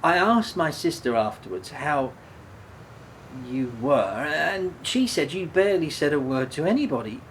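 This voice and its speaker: Actor as a troubled, guilt-ridden man, hesitant, rumbling noise. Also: lively intonation, a bit bright. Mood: agitated, remorseful. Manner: hesitant, halting, repeating words. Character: guilt-ridden, self-blaming man, an ex-prisoner, subdued and troubled. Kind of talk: radio play dialogue, naturalistic.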